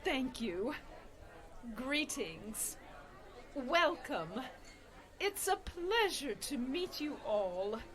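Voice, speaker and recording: A woman playing a gentle, devout and very polite cleric in a comedy radio play, earnest in every phrase. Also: sits high, very animated, very slow, pauses often, a crowd in the background, exaggerated voice. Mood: sweetly earnest.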